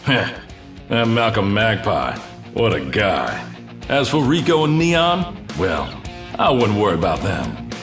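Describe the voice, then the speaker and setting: Dramatic sports commentator, big swinging pitch. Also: male voice, sing-song, slow pace, pauses often, over music, full character voice. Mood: wry wrap-up. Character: excitable dramatic male sports commentator narrating brawls.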